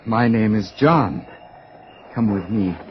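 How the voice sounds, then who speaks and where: An actor playing an earnest young male outsider with a slow, formal manner in a radio drama. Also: on the low side, animated. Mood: earnest, curious. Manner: slow, formal, archaic phrasing. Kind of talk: old-time radio drama acting. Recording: dull, noisy old radio recording.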